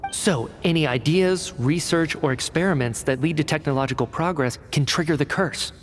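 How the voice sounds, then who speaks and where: An actor playing an analytical male researcher in a video game cutscene, explaining in a measured, cerebral way. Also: fairly high pitch, slight background noise, natural voice. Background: game score music.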